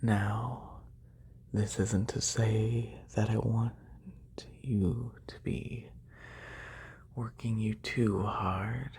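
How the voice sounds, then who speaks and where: A man playing a haughty, wealthy lamia noble in a soft, breathy ASMR whisper, slow and deliberate, close to a binaural microphone. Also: on the low side, little pitch movement, a little hiss or hum, heavily acted. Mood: composed, condescending.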